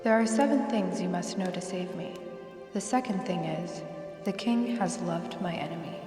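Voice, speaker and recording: Ghostly young girl, heavy echo, solemn, serene, cryptic, loud music behind, audio drama. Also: fairly low pitch, moderate pace, distant mic. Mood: solemn, eerie. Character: eerily serene wounded young girl in a dream. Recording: long echoing reverb under loud music.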